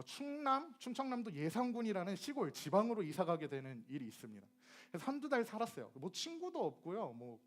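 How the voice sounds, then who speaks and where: A young man from Gyeonggi preaching a sermon to teenagers, asking them questions and acting out dialect phrases. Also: high voice. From Gyeonggi, South Korea. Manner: lively, asks the audience questions and acts out dialect phrases. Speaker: youth ministry preacher.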